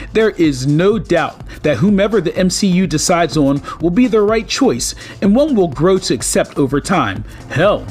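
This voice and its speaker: A man with a fairly high-pitched voice narrates as the host of an online video. He speaks to fans informally and with emphasis, over light background music. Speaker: YouTube host. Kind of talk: scripted narration for an entertainment video.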